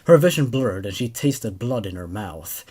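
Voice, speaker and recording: Young man with a very animated delivery. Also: on the low side, normal speed, slightly rough. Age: 20s. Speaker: host narrator and reviewer. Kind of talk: reading horror stories aloud with commentary. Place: small dark room indoors. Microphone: desk microphone on a boom arm.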